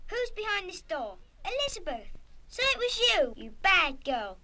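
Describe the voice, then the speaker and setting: An actress playing a lively, excitable young schoolchild in a radio play, exclaiming expressively. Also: female voice, extremely high pitch, measured pace, very noisy, heavily acted. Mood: indignant. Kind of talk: full-cast children's radio play acting.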